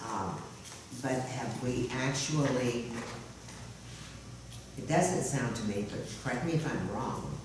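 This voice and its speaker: A woman commissioner with a slightly hoarse, low voice, pressing officials with earnest questions at a public meeting. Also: takes it slowly, long pauses. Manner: earnest, pressing, voicing concern. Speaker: city commissioner. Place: council meeting hall. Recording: echoey hall, distant mic, background noise.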